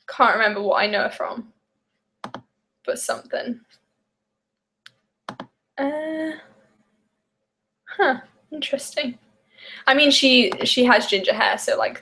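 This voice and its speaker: A woman's voice: on the high side, measured pace, pauses often, pushed and bright, a little hiss or hum. A young woman from the English Midlands with a Midlands accent, chatting casually and rambling on a live stream while baking, with lots of fillers and giggles. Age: young adult. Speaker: vlogger.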